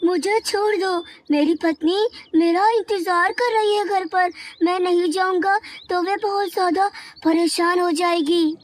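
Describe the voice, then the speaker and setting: Woman as a very high-pitched little male insect. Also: fairly even intonation, measured pace, hardly any pauses. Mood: frightened, pleading. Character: small male cartoon insect, eager, naive, pleading. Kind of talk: children's animated story dialogue.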